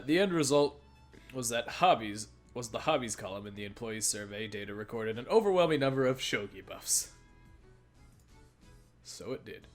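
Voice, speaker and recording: A man reading visual novel dialogue aloud in a casual way, often stumbling and repeating phrases as he goes. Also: mid-range voice. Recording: music behind the voice.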